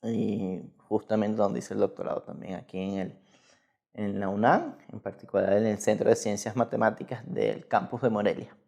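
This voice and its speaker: A man's voice: on the low side, steady tone, normal speed, vocal fry, dark tone. A Venezuelan man in his thirties giving casual, rambling interview answers with frequent self-corrections. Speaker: guest researcher in mathematics.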